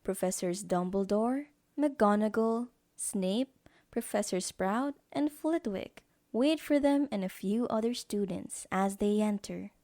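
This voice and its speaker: A woman's voice: medium pitch, slow pace, warm tone. A woman reading scripted narration as the narrator of an audio drama.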